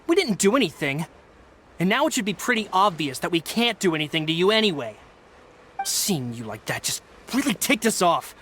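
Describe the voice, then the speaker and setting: A man's voice: high-pitched, sing-song, normal speed, sharp tone, exaggerated voice. A surly, resentful male thug from a video game. He sounds defiant, with faint noise behind the voice. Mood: sullen. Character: resentful male street thug bent on revenge. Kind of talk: video game character acting.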